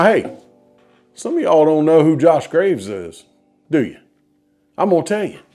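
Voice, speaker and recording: A man with an American accent telling a story casually to viewers in a folksy, enthusiastic way, as the host of a guitar video. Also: a little high.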